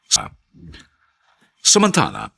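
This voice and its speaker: A Filipino man announcing a radio drama in a lowish, husky voice. He speaks slowly and solemnly, with huge theatrical swings in pitch. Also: huge pitch swings, pushed and bright. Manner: solemn, theatrical, deliberate phrasing. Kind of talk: dramatic scripted radio narration.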